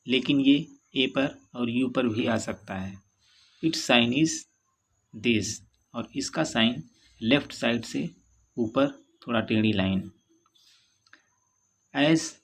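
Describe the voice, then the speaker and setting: A man with an Indian English accent teaching French in a bilingual lesson, reading English lines slowly and then explaining them in Hindi. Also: medium pitch, a bit bright, slightly rough.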